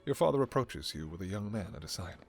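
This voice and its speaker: A man's voice: fairly low pitch, animated, fast talker, soft background music. A man giving scripted second-person narration for a romantic fantasy story.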